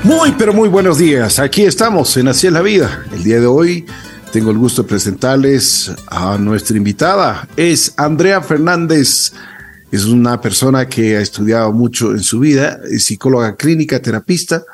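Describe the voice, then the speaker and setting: A man's voice: mid-range voice, animated, normal speed, faint music behind. Male interview host, conversational delivery, mid-range voice.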